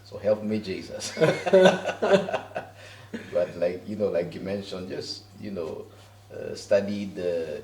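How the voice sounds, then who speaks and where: Deep, gravelly Kenyan man, far off. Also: a little mellow, echoey room, slight background noise. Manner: easygoing, laughs often, many fillers. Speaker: pastor. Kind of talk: relaxed interview answers. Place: living room in a house.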